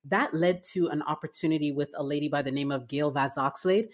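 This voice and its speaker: Woman from Canada, low voice, chatty, fillers and restarts, interview guest. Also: a little fast. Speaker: guest, writing business owner. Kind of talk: casual interview conversation.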